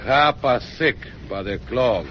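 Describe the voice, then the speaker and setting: A man plays a curt Mexican barman who answers in a slow, clipped way. The sound is dull and lo-fi, with a faint hiss. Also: medium pitch, close to an everyday voice. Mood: terse. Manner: slow and terse. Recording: dull lo-fi radio drama.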